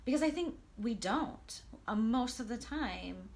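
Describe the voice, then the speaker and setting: A woman's voice: on the high side, expressive, a little slow, vocal fry, darkish tone. A woman life coach speaking as an interview guest, earnest and enthusiastic, sometimes stumbling over how she starts a thought. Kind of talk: conversational interview.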